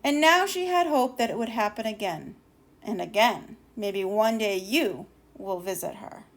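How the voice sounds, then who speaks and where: A woman reading a children's storybook aloud, expressively, with deliberate dramatic emphasis and the odd stumble over words. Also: medium pitch, animated.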